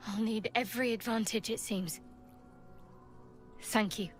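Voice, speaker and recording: An actress playing a young, devout and guarded female cleric in a video game, with a measured, hesitant delivery. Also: on the high side, steady tone, soft background music, natural voice. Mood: guarded, wry. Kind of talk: video game dramatic acting.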